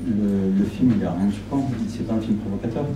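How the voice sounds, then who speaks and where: A man's voice: fairly low pitch, brisk, dark tone, a little hiss or hum. A middle-aged man from Argentina, whose first language is Spanish, speaking into a handheld mic at a small public event and often repeating words while he thinks. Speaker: film director. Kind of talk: public Q&A talk. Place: small shop event room indoors with audience. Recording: roomy, from a distant camera.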